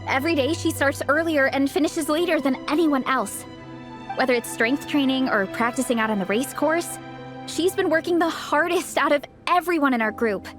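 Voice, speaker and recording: Upbeat young heroine's friend, played by an actress: very high register, supportive, game dub over music. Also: female voice, close to an everyday voice. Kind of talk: English game dub cutscene acting.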